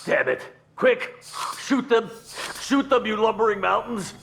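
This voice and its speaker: An actor playing a cowardly, blustering criminal underling in a video game, nervy and easily cowed. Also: male voice, high-pitched, expressive, a little slow, gravelly, a bit bright, a little hiss or hum, full character voice. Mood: indignant panic.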